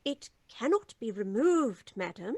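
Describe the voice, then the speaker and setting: Ominous, formal housekeeper. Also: female voice, a little high, sing-song, a little slow, a little mellow, no room sound. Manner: formal, foreboding. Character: solemn, ominous English housekeeper. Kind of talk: old-time radio drama acting. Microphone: studio mic, video call.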